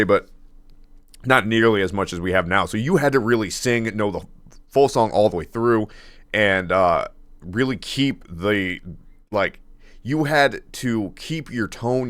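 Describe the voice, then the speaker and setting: A man with a deep baritone voice reacting casually to a song, laughing often and rambling with fillers and restarts. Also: dry recording. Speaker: music reaction host.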